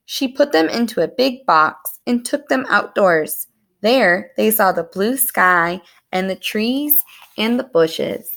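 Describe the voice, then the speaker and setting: A woman reading a story aloud to young children in an animated, expressive storytelling manner. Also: mid-range voice, slow pace, vocal fry. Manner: animated, explains words to young listeners.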